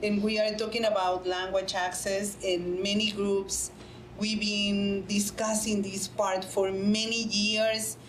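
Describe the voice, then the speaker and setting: A woman's voice: medium pitch, little pitch movement, takes it slowly, echoey room, low-quality recording. A woman panelist answers at a table mic in a conference room. She talks earnestly and drives points home by repeating them. The room rings a little, with a faint hum behind her. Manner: earnest, repeats phrases for emphasis, many restarts. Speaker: panelist, nonprofit director.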